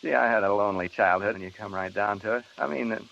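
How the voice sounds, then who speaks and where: A man's voice: lowish voice, fast, gravelly, a bit bright. A man playing a nervous, rambling, stammering broadcaster alone in a haunted house, in old time radio horror style. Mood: frayed, rambling. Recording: dull, noisy old radio recording.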